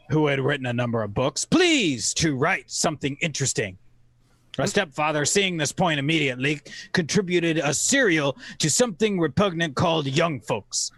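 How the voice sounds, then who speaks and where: A man giving theatrical scripted narration in an old-time radio manner, oratorical and wry, with deliberate phrasing. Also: fairly high pitch, fairly bright tone, dry recording.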